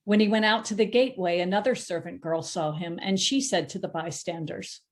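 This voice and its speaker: A woman's voice: on the low side, steady tone, a little fast. A woman narrating a scripture passage in a church drama, reading expressively.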